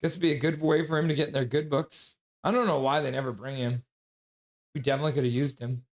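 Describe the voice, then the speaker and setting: A man's voice: mid-range voice, steady tone. A man hosting a live stream, calling the action in casual play-by-play and reading chat messages aloud. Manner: reads chat messages aloud and chats back; quick, offhand running description of the action. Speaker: livestream host.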